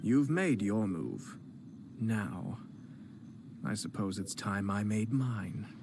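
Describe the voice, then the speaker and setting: An actor playing a composed male noble commissioner in a game, speaking calmly and politely with a cutting edge. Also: lowish voice, slow pace, music in the background. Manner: calm, polite, coolly cutting. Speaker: game character. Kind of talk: game cutscene voice acting.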